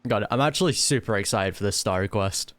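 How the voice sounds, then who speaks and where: A man's voice: fairly low pitch, fairly quick, a bit bright, slight background noise. Chatty man reacting to a video game. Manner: chatty, amused, answers the game aloud. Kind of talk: casual live gameplay commentary. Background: game music.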